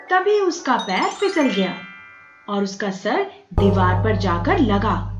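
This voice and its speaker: A woman narrating a scripted children's bedtime story in an expressive, measured way. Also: medium pitch, dull audio. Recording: roomy, dulled audio with background music. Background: music.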